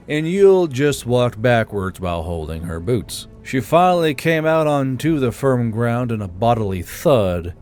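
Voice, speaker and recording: A man narrating an audiobook chapter in steady, measured storytelling. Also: medium pitch.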